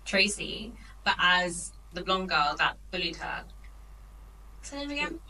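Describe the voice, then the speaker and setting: Bright-voiced chatty woman co-host. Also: fairly low pitch, lively intonation, measured pace, far from the mic, slight background noise, low-quality recording. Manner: chatty, laughs easily, trails off. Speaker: podcast co-host. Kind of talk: casual chat.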